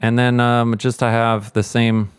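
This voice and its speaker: A man's voice: medium pitch, flat delivery, a little slow, clean tone, dry recording. A middle-aged man explaining sound mixing in an informal tutorial, thinking aloud as he goes. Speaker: sound mixer giving a tutorial. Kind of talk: casual tutorial walkthrough.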